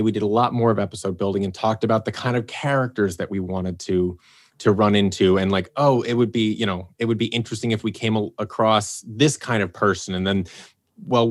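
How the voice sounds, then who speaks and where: A man with a fairly low voice, talking loosely and easily in a podcast chat. He wanders through his thoughts, doubles back on words, and perks up whenever he acts out what someone said. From Los Angeles area, United States. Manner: rambling, restarts and fillers, animated when quoting himself. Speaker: producer and writer of an audio drama. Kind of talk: casual podcast interview.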